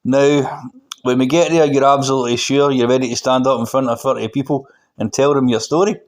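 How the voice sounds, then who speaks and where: A man's voice: mid-range voice, steady tone, brisk, close to an everyday voice. Concerned Glasgow pastor played by a male reader, matey, probing audiobook dialogue.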